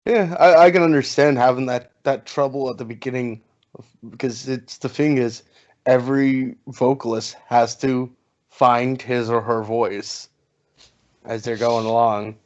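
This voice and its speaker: A man's voice: mid-range voice, fairly even intonation, measured pace, darkish tone, dull audio. A man hosting a casual podcast interview, laid-back, with casual swearing. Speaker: podcast host.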